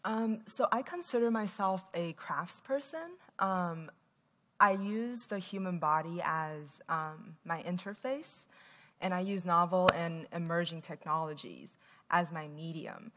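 Soft-voiced woman speaking in a large hall. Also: fairly low pitch, a little slow. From Taiwan. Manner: frequent "um" fillers, earnest. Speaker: conference speaker. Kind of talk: stage talk presenting research. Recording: long hall reverb.

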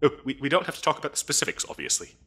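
Comedy audio roleplay: officious male demon interviewer acted by a man, bright, slightly raspy, dryly polite, hesitant bureaucrat. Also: on the high side, sing-song, racing, full character voice. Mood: intrigued. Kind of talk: comedic audio roleplay acting, addressing the listener.

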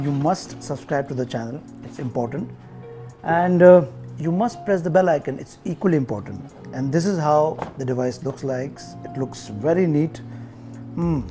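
A man's voice: fairly high pitch, gravelly, warm tone. A man in his forties with an Indian accent, giving a casual, conversational product review to viewers. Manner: conversational, addressing viewers directly. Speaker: tech reviewer presenting an unboxing. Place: indoor showroom-like room.

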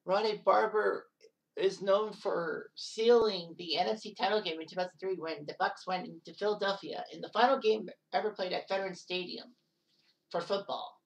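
A woman in her thirties narrating sports history casually, rambling with offhand asides and quick self-corrections. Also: medium pitch, creaky, recorded from a distance, rough recording. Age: 30s.

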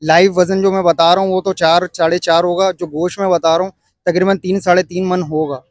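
A man in his thirties from Pakistan with a Karachi Urdu accent, filming a casual walkthrough of an outdoor cattle market on his phone and pressing sellers for lower prices. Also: little pitch movement, a little fast, no echo, clean studio sound. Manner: chatty, pushes for a lower price. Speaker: vlogger.

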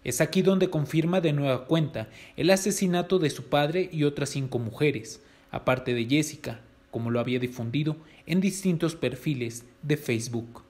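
A man's voice: a little high, moderate pace, hardly any pauses, a little mellow. A man with a Mexican accent reading scripted news narration.

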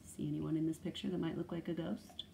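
A woman's voice: low voice, steady tone, fast, vocal fry, noisy background. A woman in her thirties or forties reading a storybook aloud and explaining words. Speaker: read-aloud host. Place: small room indoors.

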